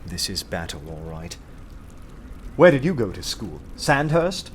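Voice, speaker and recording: Casting: cultured artist-detective for radio drama; dry wit, crisp theatrical diction, background sound. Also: male voice, low-pitched, big pitch swings, unhurried, full character voice. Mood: scornful. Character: wry, cultured artist turned undercover detective. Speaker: actor in a radio play. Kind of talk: dramatised first-person narration and dialogue. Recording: music or ambient noise behind.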